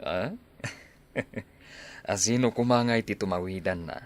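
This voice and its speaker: A man acts out a village father in a radio drama. He is a rural farmer with a low voice, talking in everyday dialogue. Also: takes it slowly. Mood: chatty. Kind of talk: Ilocano radio drama acting.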